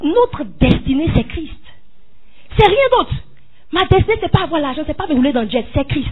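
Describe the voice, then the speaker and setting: A woman's voice: high-pitched, animated, moderate pace, narrow, tinny audio. A woman preaching an impassioned sermon, tossing questions to the congregation and rising to cries.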